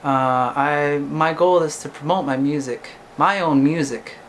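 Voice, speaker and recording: A man's voice: on the high side, a little slow, slight background noise. A young man, a singer-songwriter whose first language is English, talking casually to camera and ending his points with "right?". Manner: chatty, ends points with "right?".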